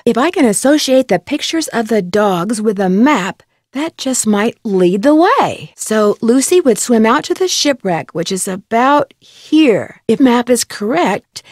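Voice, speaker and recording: A woman playing a curious, plucky young detective in an adventure game, thinking aloud in short, clearly spoken remarks. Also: fairly high pitch, big pitch swings, a little slow, no echo, studio quality. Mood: thoughtful, musing. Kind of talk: scripted adventure game voice lines.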